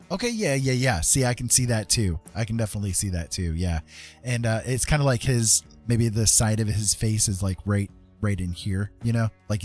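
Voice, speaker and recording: A man's voice: fairly low pitch, darkish tone. A man casually streaming a digital painting tutorial, rambling and thinking aloud as he works.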